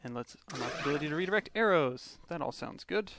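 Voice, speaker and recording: A man's voice: on the high side, expressive, quick pace. A man from Canada giving casual let's play commentary as host of a gaming channel. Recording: clear game water noise behind voice. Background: video game sound.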